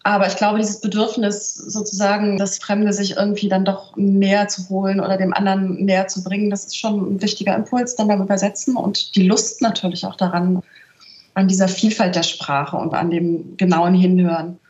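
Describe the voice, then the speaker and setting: A woman's voice: medium pitch, little pitch movement, normal speed, darkish tone. A middle-aged woman from northern Germany, a translator speaking as an interview guest, in a thoughtful, searching way with frequent little tag questions. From northern Germany, near Celle. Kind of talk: reflective interview talk. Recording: roomy, slightly dull remote audio.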